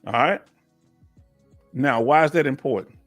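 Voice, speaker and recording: A man's voice: medium pitch, unhurried, fairly bright tone, soft background music. A man in his fifties hosting a casual live Q&A, talking folksy and loose, repeating himself and urging viewers to speak up. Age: 50s. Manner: folksy, repeats himself, coaxes the audience to join. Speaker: livestream host and veteran claims advisor.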